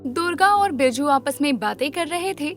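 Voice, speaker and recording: A woman's voice: high voice, animated, moderate pace, a bit bright, soft background music. A woman narrator delivering scripted story narration.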